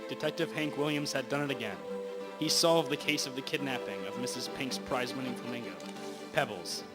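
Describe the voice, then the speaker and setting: A man's voice: medium pitch, brisk, lightly acted. A young man playing the storyteller of a detective radio drama, reading the script with occasional stumbles. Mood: reminiscing. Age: teenager or young adult. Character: male storyteller recalling an old detective case. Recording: loud music over amateur recording.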